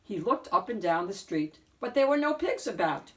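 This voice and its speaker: A woman narrating a children's picture book in an expressive read-aloud style, with deliberate, dramatic emphasis. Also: medium pitch, far from the mic, poor audio. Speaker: storyteller, picture book reader.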